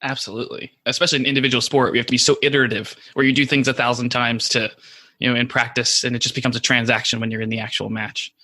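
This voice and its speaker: Podcast host guy, bright forceful voice, super dry close sound, keeps saying nice and very cool. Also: mid-range voice, flat delivery, no room sound. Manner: encouraging, quick affirmations. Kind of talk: interviewing a guest.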